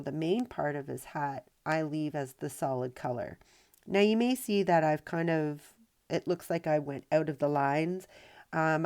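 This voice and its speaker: Low smooth woman's voice, kinda nasal from a cold, chatty craft tutorial voice-over with lots of ums. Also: a little mellow. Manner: chatty, with many ums and uhs. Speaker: rock painting tutorial host. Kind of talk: casual voice-over tutorial narration.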